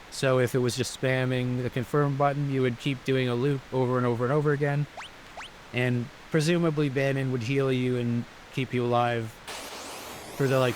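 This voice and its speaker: A man giving casual, rambling, explanatory commentary as he plays through a video game. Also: medium pitch. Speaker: gameplay commentator. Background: game music.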